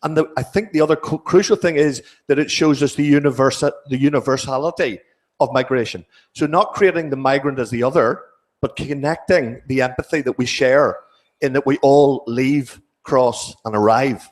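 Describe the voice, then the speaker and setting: A man giving measured, reflective commentary at a panel discussion in a wood-panelled conference hall, speaking into a table microphone, sometimes restarting clipped words. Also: mid-range voice.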